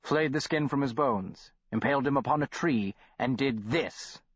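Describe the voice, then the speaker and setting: A man's voice: medium pitch, normal speed, husky, no room sound, dull audio, voice pushed up, lightly acted. A man voicing an impatient, imperious male doctor who talks curtly.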